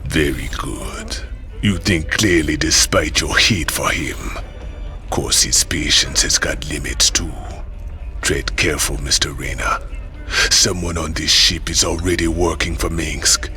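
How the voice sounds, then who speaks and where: An actor playing an ominous, knowing mentor in a video game, speaking in a grave, measured way. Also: male voice, extremely low pitch, sharp tone, faint music behind, full character voice. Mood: ominous, warning. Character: ominous, cautioning male mentor, calm and knowing. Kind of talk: dramatic video game acting.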